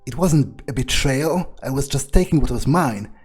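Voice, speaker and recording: A man's voice: mid-range voice, animated, moderate pace, a little mellow, husky. Swearing man roleplaying a game. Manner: defiant, swears, mocking laughs. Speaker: player roleplaying his character. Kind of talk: in-character gameplay roleplay.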